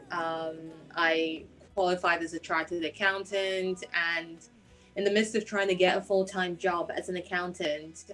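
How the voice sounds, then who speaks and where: A woman's voice: lowish voice, fairly bright tone, low-quality recording. A woman with a Nigerian accent, a singer-songwriter telling her personal story in an interview.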